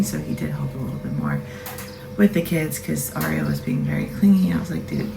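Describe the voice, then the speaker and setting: A woman's voice: low-pitched, creaky, roomy sound, recorded from a distance, rough recording. A woman, a mother of two, chatting casually and rambling a bit as she makes coffee in her kitchen. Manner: rambling, chatty, self-deprecating. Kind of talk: casual chat. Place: home kitchen, indoors. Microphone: camera set at a distance.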